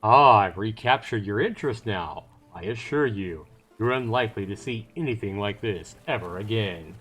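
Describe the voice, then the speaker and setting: Man doing a theatrical seafaring trader, lively pitch. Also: moderate pace, husky, soft background music. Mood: cajoling, confident. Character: salesman-like seafaring trader spinning yarns of the sea. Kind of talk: dramatic storytelling.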